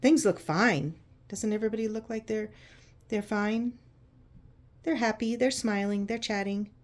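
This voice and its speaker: A woman narrating a picture book to children in an expressive, conversational way, using simple explanations and checking in with questions. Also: mid-range voice, measured pace. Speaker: children's read-aloud host.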